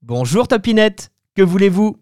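A woman voicing a sly male owl who hoots and booms in a deep put-on voice for a children's storybook. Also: very animated, normal speed, darkish tone, no echo, studio quality, lightly acted. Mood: booming. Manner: hoots, booming and self-satisfied. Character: male owl optician, sly, booming, hooting. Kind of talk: storybook character voice.

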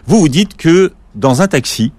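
A man's voice: fairly high pitch, lively intonation, measured pace, a little mellow, slightly rough. A man hosting an interview, introducing a song and prompting his guest with short questions and remarks. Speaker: interview host. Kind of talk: radio-style interview.

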